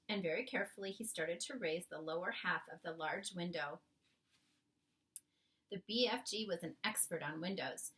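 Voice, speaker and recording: A woman reading a children's book aloud expressively, with careful, dramatic phrasing and pauses. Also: on the low side, a bit bright, far from the mic, rough recording. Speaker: storybook reader.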